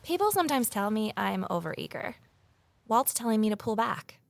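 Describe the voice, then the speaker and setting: A woman's voice: a little high, very animated, normal speed, creaky, dry recording. A woman playing an eager, nosy former journalist turned sleuth, telling her story in earnest first-person audio drama style. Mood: determined, reflective.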